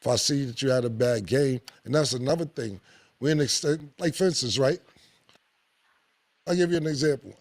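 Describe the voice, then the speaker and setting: New podcast host guy, deep gravelly voice, blunt and loud about it, dry close mic. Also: moderate pace, pauses often, no echo. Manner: blunt, animated, swears freely. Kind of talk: casual opinionated podcast talk.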